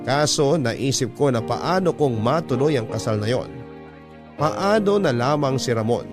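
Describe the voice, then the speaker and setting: Man narrating a letter story like old school radio, medium pitch, music playing under him. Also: expressive, unhurried. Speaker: radio host reading a listener's letter. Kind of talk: scripted first-person story narration, classic radio storytelling. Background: music bed.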